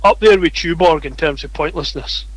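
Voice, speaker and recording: A man's voice: on the high side, normal speed. A Scottish man co-hosting a casual podcast, blunt, sweary and sardonic. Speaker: podcast co-host. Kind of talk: casual chat.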